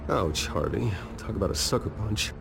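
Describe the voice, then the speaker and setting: An actor playing a wry, guarded wealthy man in a video game, speaking in a dry, measured way. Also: lowish voice, animated, creaky, over music. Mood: wry, affronted.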